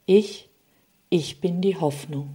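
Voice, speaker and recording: A woman plays a small, kind old woman who turns out to be Hope. She speaks softly and tenderly in a mellow, low voice, comforting and unhurried. A gentle storybook reading. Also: slightly rough. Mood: warm.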